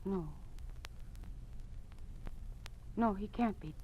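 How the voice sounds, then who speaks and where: A woman's voice: medium pitch, brisk, slightly rough, close to an everyday voice. An actress playing an earnest, brave young female teacher and dissenter in an old-time radio drama. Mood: shocked, grieving. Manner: earnest, impassioned. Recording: muffled old radio recording.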